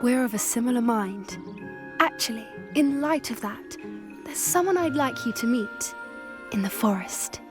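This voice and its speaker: A kind young student who looks after a rescued creature, in a fantasy game. She speaks earnestly and confides softly, in a fairly high voice with clear music underneath. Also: lively intonation, unhurried. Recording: game audio with music behind.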